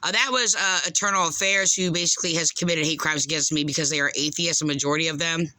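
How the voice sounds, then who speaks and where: A woman caller making a complaint in unscripted speech, aggrieved and insistent, halting and rambling as she goes. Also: deep voice, fairly even intonation, bright, forceful tone, no room sound, poor audio.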